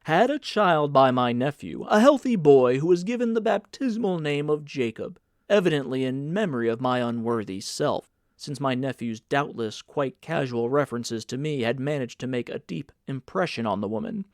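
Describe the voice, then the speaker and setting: A man's voice: mid-range voice, expressive, moderate pace, no room sound, clean studio sound, natural voice. A man voicing a pompous older senator in an audiobook, speaking in an emphatic, declamatory, oratorical way. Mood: grand, emphatic. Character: pompous, theatrical senator uncle, older man, grandly indignant. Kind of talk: dramatic audiobook character reading.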